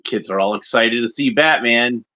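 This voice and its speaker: A man's voice: mid-range voice, normal speed, bright, forceful tone, lo-fi sound. A man hosting a watchalong with casual, rambling, unscripted commentary and short observational remarks. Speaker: host of a cartoon watchalong commentary.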